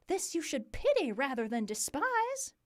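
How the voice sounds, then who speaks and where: A woman's voice: high voice, big pitch swings, a little slow. A woman voicing a wronged, indignant young Southern belle with a Southern US accent, declaiming in a stage play. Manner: melodramatic, declamatory.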